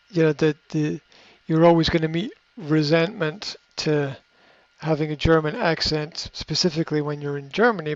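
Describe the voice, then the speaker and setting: A man giving unscripted coaching advice in a reflective way, often pausing with fillers and restarting his sentences. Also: fairly high pitch, no room sound. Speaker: coach answering a question. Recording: dry, slightly muffled recording.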